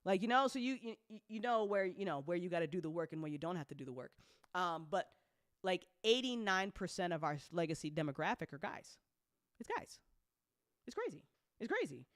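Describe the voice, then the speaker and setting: A woman's voice: on the low side, big pitch swings, a little fast, no echo, studio quality. A woman hosting a casual update talk as an audio drama producer, speaking bluntly and informally with playful slang and free swearing.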